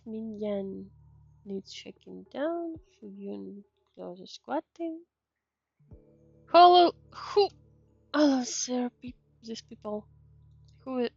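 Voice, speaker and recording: A woman's voice: medium pitch, vocal fry, darkish tone, breathy edge. A woman streamer giving casual game commentary, thinking aloud and reading text from the screen. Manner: murmured acknowledgements, thinking aloud. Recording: muffled mic over faint game music.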